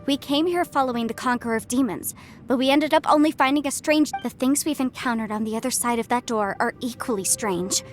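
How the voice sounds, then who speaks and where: A composed young heroine played by an actress, with a fairly high voice and formal, careful phrasing. She sounds polite and analytical, like an anime game adventurer, with music playing behind her. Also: lightly acted. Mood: thoughtful. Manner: formal, measured, deliberate phrasing.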